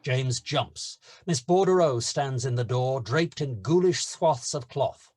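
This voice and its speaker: A male narrator reads screenplay action at a table read. His voice is mid-pitched and husky, with a lively, varied intonation. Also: slow.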